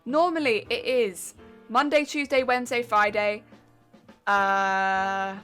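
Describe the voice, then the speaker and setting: A woman's voice: on the high side, steady tone, takes it slowly, a bit bright, clean tone, faint music behind. A young woman with an English accent, chatting casually on a live stream as she answers chat questions and critiques her own sewing. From England.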